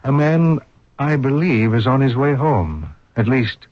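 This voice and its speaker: A man acting an urgent, commanding hospital doctor in a radio drama, speaking with grave, deliberate authority. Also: mid-range voice, expressive, no echo, natural voice. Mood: grave, hesitant. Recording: dull 1970s radio drama recording.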